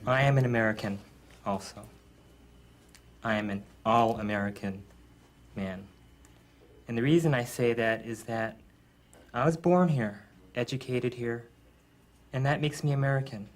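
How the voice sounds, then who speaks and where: A man's voice: mid-range voice, a little slow, pauses often. A man speaking assertively in a group discussion.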